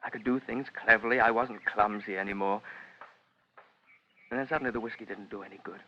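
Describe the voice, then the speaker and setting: An actor playing an insecure, intense, sensitive young British man in a 1950s radio drama, earnest and halting. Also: on the low side, steady tone, lightly acted. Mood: earnest, wounded. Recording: muffled vintage radio recording with hiss.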